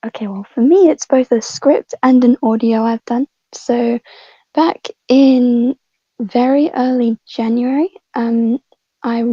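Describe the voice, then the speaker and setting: A woman chatting casually as a podcast guest, halting as she thinks aloud. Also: fairly high pitch, warm tone, slightly rough, dry recording. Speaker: script writer and voice actor, podcast guest. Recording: dull, muffled lo-fi mic.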